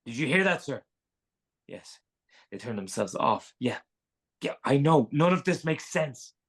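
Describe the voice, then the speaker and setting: An actor playing a brusque, exasperated Navy admiral with a gravelly, husky voice, giving halting, clipped orders in a screenplay table read. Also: medium pitch, big pitch swings, exaggerated voice. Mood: tense, deferential.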